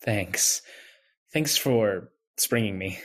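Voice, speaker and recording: Man acting a husky, bewildered wronged man. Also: fairly low pitch, animated, very slow, heavily acted. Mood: strained. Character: bewildered, wronged man insisting on his innocence. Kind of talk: old-time radio drama acting.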